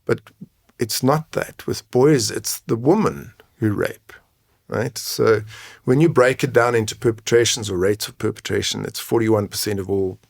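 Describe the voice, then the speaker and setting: A man's voice: fairly low pitch, moderate pace, creaky, no echo, studio quality. A man explaining things frankly and conversationally as an interview guest, often checking his points with a tag question. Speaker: guest, men's mental health coach and advocate.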